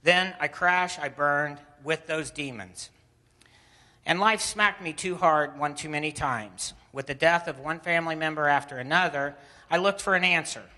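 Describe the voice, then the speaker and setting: A man's voice: on the high side, normal speed, sharp tone. Echoey, distant man telling his story. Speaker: speaker telling a personal faith story. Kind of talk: prepared spoken personal narrative before an audience. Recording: echoey room, distant mic, clear background noise.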